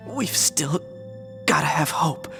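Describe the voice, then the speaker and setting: A man's voice: a little high, animated, slow, creaky, full character voice. An actor playing a wounded young male soldier in a game, speaking weakly but trying to sound encouraging. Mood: weak, hopeful. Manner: strained, weak but encouraging talk. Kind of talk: game cutscene voice acting. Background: music.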